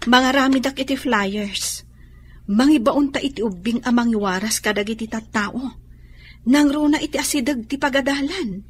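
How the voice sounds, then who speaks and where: A high-voiced, feisty Filipino employee. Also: female voice, normal speed, husky. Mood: scheming, bold. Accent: Filipino, Ilocano. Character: feisty, scheming young computer-shop employee. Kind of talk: radio drama acting.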